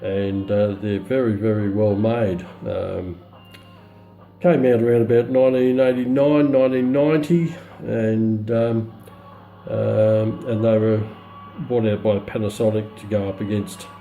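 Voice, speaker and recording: A middle-aged man giving an unscripted product demonstration in a halting, matter-of-fact way. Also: fairly low pitch, fairly even intonation, warm tone, roomy sound, dull audio, faint music behind. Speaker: presenter of a radio review. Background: shortwave radio broadcast playing.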